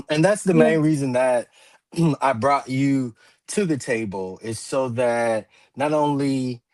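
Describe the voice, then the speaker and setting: A man in his forties hosting a roundtable talk show, speaking in a measured way with many fillers and restarts and the odd throat clear. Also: mid-range voice, darkish tone, dry recording. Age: 40s. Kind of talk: roundtable discussion.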